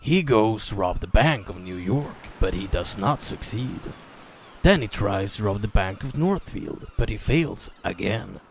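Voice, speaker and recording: A man's voice: fairly low pitch, animated, measured pace, a little hiss or hum. Man giving a dramatic story narration.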